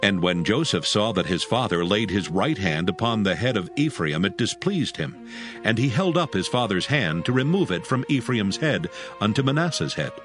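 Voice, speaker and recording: Male narrator for Bible audio drama: fairly deep, expressive rise and fall, musical backdrop. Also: moderate pace, over music.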